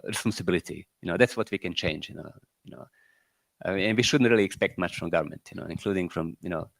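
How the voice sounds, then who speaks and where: A man's voice: on the low side, no room sound. A middle-aged man with a Croatian accent, an entrepreneur giving rambling closing remarks at a panel, firing off rhetorical questions and filling gaps with "you know".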